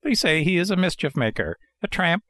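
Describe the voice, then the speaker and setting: A kindly, inquisitive old villager from a storybook, played by a man. He speaks in a raised, lilting pitch with an earnest, questioning manner. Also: sing-song, fairly quick, fairly bright tone, dry recording, studio quality. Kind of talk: storybook character voice in audiobook narration.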